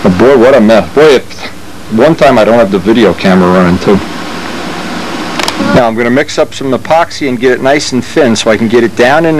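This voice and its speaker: A man giving a casual hands-on how-to, talking unscripted as he works, as a hobbyist showing a repair. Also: medium pitch. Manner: unscripted, thinking aloud while working. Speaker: hobbyist demonstrating model plane repair. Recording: noisy workshop with steady machine hum.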